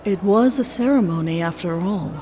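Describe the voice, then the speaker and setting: A woman narrating a scripted fan fiction story in a measured storybook style. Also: mid-range voice, mellow. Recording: long reverb, music behind.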